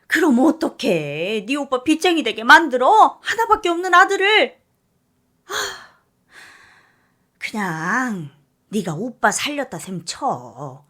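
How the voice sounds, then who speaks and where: A woman voicing a selfish middle-aged mother who scolds her daughter in a high, loud, reproachful tone. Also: heavily acted.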